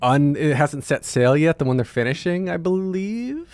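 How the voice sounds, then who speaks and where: A man's voice: fairly high pitch, big pitch swings, moderate pace, a little mellow, dry recording. A man hosting a casual podcast interview in a chatty, teasing, playful manner.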